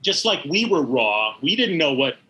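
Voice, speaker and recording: A man's voice: on the high side, very animated, moderate pace, sharp tone, low-quality recording. A middle-aged or older man, an interview guest recalling his work in animation with emphatic enthusiasm. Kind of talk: conversational recollection.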